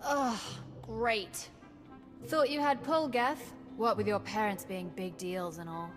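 A woman's voice: mid-range voice, steady tone, slow, close to an everyday voice. A woman playing a cheeky, irreverent young pilot in a video game, casual and sarcastic. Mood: teasing. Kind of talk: video game cutscene acting. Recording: loud music behind.

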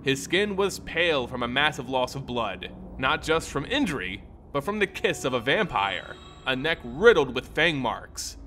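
A man's voice: mid-range voice, very animated, normal speed, pushed and bright, slight background noise. A man narrating a scripted fantasy story in a dramatic style. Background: music.

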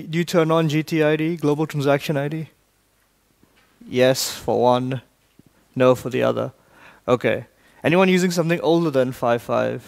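A man from Malaysia giving a technical talk in a large conference hall, briskly quizzing the audience. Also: medium pitch, creaky. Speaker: conference speaker.

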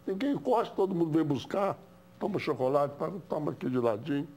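A man's voice: fairly high pitch, expressive, a little fast, darkish tone. An elderly Brazilian man in casual sports debate, insistently repeating his phrases. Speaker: sports panelist, former footballer and coach. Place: TV studio.

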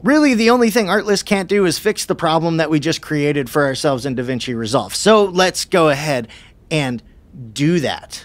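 A man in his thirties hosting a casual, conversational software tutorial, on a close broadcast mic in a small studio. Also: fairly high pitch, no echo. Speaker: video tutorial host. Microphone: close broadcast mic on boom arm.

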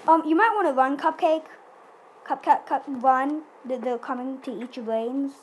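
A woman's voice: high voice, normal speed, slight background noise. A woman giving casual, playful, chatty commentary during a video game roleplay. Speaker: gaming video host.

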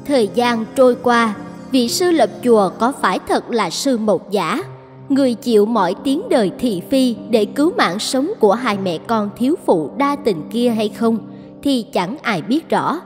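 Reverberant female storyteller, fairly high. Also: animated, measured pace, faint music behind. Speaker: narrator. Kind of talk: scripted story narration. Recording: long hall reverb.

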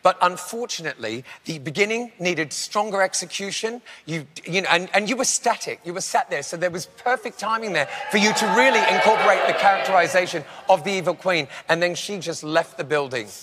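A man's voice: sits high, fairly quick, few pauses, pushed and bright. A man in his forties giving a critique as a competition judge on live TV. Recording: reverberant hall, noisy crowd behind.

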